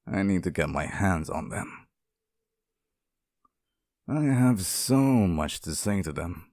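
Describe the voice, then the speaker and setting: A man's voice: low-pitched, a little mellow, dry recording, studio quality, exaggerated voice. A man acting out a devoted, possessive demon in an intimate ASMR roleplay, sweet and tender with an undercurrent of menace. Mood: possessive, menacing.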